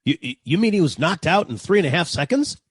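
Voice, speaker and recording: A man playing a polite, puzzled, well-to-do gentleman in a 1940s-style radio comedy. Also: fairly high pitch, very animated, quick pace, breathy edge, no echo, studio quality. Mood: sheepish politeness.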